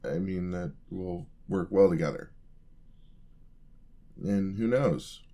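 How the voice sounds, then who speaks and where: A man's voice: sits low, slow, mellow, heavily acted. A man playing an eager, charming young apprentice paladin in a fantasy ASMR roleplay, talking to the listener in a polite, easily flustered way. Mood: nervous, bashful. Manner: polite, flustered, hesitant, chatty.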